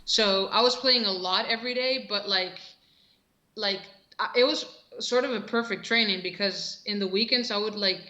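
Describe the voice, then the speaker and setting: A bright, clear-toned young woman from Costa Rica talks about her past in a relaxed way, often pausing on fillers and starting over. Her voice comes through a video call from a roomy, echoing space. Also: mid-range voice. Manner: relaxed storytelling with many fillers and restarts. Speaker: interview guest, professional soccer player. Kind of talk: casual video-call interview.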